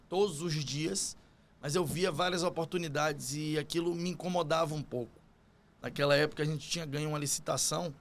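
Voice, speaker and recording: A man's voice: fairly high pitch, a bit bright. A man from Salvador, Brazil, with a Bahian accent, telling his story conversationally as an interview guest. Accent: Bahian Brazilian Portuguese. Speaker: podcast guest, construction business owner.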